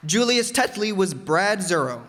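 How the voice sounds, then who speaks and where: A man's voice: high-pitched, big pitch swings, a little slow. A man announcing cast credits in old-time radio style to an audience in a town hall. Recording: echoey hall, crowd, noisy background.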